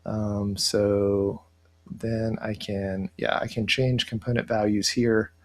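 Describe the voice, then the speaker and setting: A middle-aged man with a low voice and plenty of fry walks through software on a screen share. His delivery is casual and halting, as he thinks aloud, and stays within a fairly flat pitch range. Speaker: software tutorial presenter. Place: indoor room, webcam screen recording.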